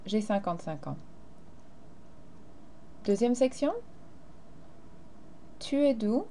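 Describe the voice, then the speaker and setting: A woman's voice: on the high side, animated, takes it slowly, dark tone. A teacher, a woman, explaining grammar and carefully modeling phrases in a recorded lesson. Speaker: French teacher.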